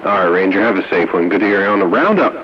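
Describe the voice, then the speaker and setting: A man's voice: low-pitched, expressive, rapid-fire. A man chatting casually over two-way radio, passing the turn around a roundup. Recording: dull, noisy two-way radio audio. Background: clear noise.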